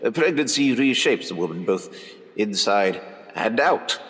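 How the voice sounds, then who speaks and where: Man acting snooty old-time surgeon, very animated pitch, talks down to everyone, echoey hall, radio drama. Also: unhurried. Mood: lecturing. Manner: pompous, condescending, lecturing. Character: pompous, condescending Edwardian surgeon lecturing over dissections. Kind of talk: period audio drama acting. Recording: echoey reverberant hall sound.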